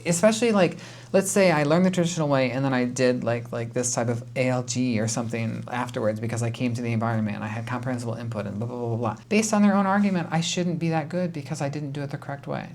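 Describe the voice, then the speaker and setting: A man's voice: mid-range voice, steady tone, fast, a little mellow. A man with an American accent talks as a reaction video host. His delivery is casual and conversational, rambling from point to point with an exasperated edge. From United States.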